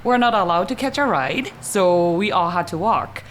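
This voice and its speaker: A woman's voice: on the low side, expressive, moderate pace, slight background noise, low-quality recording. A middle-aged woman with a Japanese accent, a guest on a podcast talking casually and unhurriedly, laughing often between phrases, into a desk mic in a small studio. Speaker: podcast guest, athletic trainer. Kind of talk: casual interview chat. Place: small home studio, indoors. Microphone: podcast mic on a desk stand.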